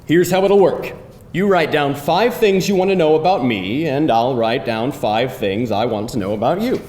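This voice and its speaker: A man playing a pushy, glib boyfriend of about thirty at a live screenplay table read, with an animated, wheedling delivery. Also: moderate pace, close to an everyday voice. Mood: persuasive. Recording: roomy live hall, faint rumbling noise.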